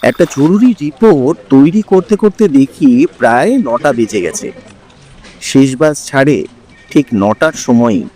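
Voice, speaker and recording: A man narrating a scripted first-person horror story, dramatic and suspenseful, in deliberate phrases broken by pauses. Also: medium pitch, animated, mellow.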